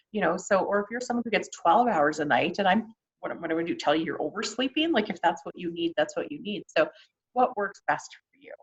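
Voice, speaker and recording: A woman's voice: mid-range voice, lo-fi sound. A Canadian woman presenting an informal online workshop in a conversational, encouraging way. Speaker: workshop presenter on mental health.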